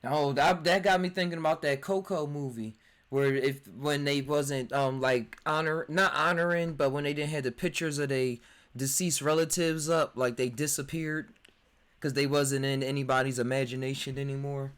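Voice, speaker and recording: A man in his twenties hosting a podcast, telling his stories excitedly, laughing often and restarting his sentences. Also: medium pitch, normal speed, smooth. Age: 20s. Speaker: podcast host. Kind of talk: casual chat. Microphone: remote voice chat.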